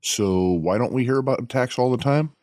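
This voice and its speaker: An actor playing a skeptical, practical police officer asking questions in an audio drama. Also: male voice, medium pitch, a little fast, darkish tone, dry recording, clean studio sound, close to an everyday voice.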